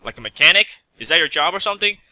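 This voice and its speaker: Man voicing a prim, bright-voiced haughty lady pony seamstress. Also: a little high, expressive, very fast, no echo. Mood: prim, brisk.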